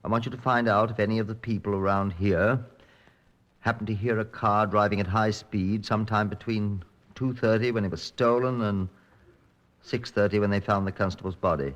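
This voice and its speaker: An actor playing a composed, methodical British chief inspector in an old-time radio crime drama, brisk and authoritative. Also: male voice, on the low side, steady tone, fairly bright tone, natural voice. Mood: commanding.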